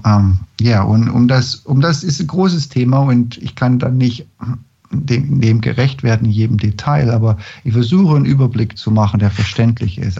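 A man opening a spiritual lecture, speaking haltingly with many fillers and self-corrections. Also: lowish voice, creaky, dark tone. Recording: dull lo-fi recording.

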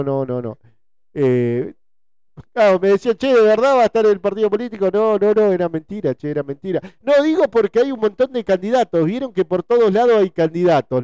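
A man in his forties with a Rioplatense accent and a very smooth, mellow voice, recorded close and dry. He hosts a casual live chat, circling back over his phrases and checking in with his listeners. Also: a little high, no echo. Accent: Rioplatense Spanish. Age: 40s. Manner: repetitive, conversational, often seeking agreement with tag questions. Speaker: host chatting with his audience.

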